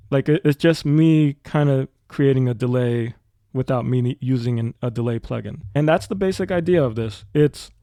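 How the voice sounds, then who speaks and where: A man's voice: medium pitch, mellow, dry recording, studio quality. A man giving a casual tutorial as a music production teacher.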